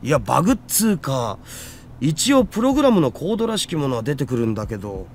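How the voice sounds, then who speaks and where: A man's voice: medium pitch, very animated, rapid-fire, darkish tone, a little hiss or hum. A man voicing a geeky, easily exasperated young male hacker in a Japanese visual novel, with theatrical slangy speech. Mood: casual, puzzled. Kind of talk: anime-style game voice acting.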